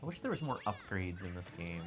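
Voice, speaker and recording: A woman's voice: extremely low pitch, sing-song, brisk, over music. A woman chatting casually and easily over a video game. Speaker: co-host of a gameplay show. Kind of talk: casual chat over gameplay.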